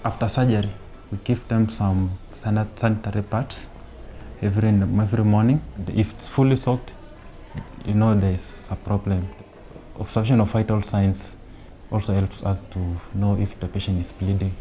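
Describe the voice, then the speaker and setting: Calm low-voiced male nurse explaining. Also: normal speed, slight background noise. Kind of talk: explaining nursing care.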